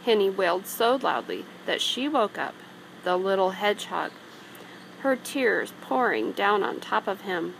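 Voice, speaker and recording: Lady reading a kids picture book slowly, some background noise. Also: medium pitch, expressive, gravelly, fairly bright tone, low-quality recording. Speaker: storybook reader. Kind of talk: read-aloud children's story narration.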